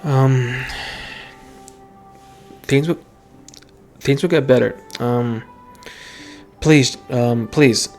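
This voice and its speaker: A game streamer giving casual, halting let's-play commentary and reading dialogue aloud. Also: medium pitch, a little mellow, soft background music. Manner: halting, hesitant.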